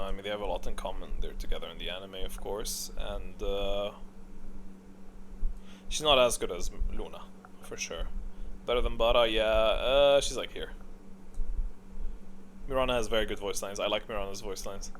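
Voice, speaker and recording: A man's voice: mid-range voice, fairly bright tone, slight background noise. A man giving casual, opinionated gaming commentary, rambling and thinking aloud in a blunt way. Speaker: gaming video creator.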